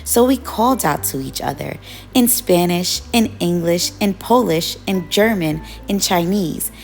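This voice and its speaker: A woman reading a picture book aloud as a storyteller, with expressive, measured narration. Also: fairly low pitch, soft background music. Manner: measured storytelling, acts out children's quoted calls.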